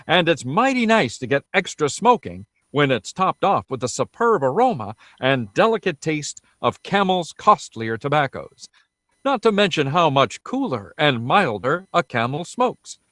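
A 1930s radio announcer selling to listeners. His voice is slightly high with animated intonation. The delivery is persuasive and scripted, in a very dry acoustic. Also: a little slow, no echo. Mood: salesmanlike. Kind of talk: 1930s radio advertising and scene-setting narration.

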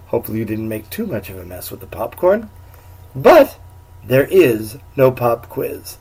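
A man's voice: mid-range voice, sing-song, normal speed, darkish tone, slightly rough, rough recording. A man hosting and signing off a radio show. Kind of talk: hosting sign-off.

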